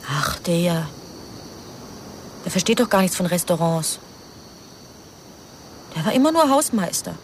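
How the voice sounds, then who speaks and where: A woman's voice: fairly low pitch, big pitch swings, a little slow, long pauses, slight background noise. Sullen, evasive teenage girl. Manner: grumbling, evasive. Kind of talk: radio drama acting. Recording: faint outdoor ambience with insects.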